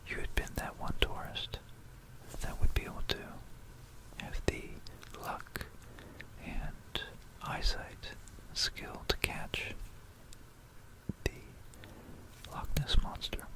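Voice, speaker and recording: An ASMR presenter narrating history in a hushed, unhurried whisper, repeating words and pausing mid-phrase, close to a stereo microphone. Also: rough recording. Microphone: close stereo microphone for binaural ASMR.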